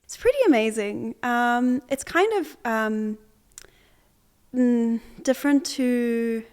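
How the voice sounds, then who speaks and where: A young woman from Zimbabwe, an artist, answering interview questions in a relaxed way with many pauses, fillers and restarts. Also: on the high side, smooth. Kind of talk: conversational interview.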